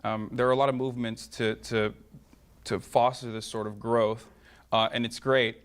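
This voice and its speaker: A man's voice: mid-range voice, little pitch movement, moderate pace, smooth. Hesitant man with a Turkish accent. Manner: hesitant, many fillers and restarts. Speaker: debate guest. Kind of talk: debate.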